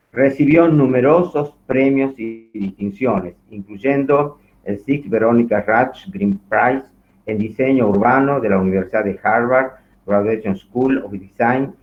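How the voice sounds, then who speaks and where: A man hosting an online event, formally reading out material in a halting way and stumbling over foreign names. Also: on the low side. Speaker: host introducing a guest lecturer. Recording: thin phone-like online call audio.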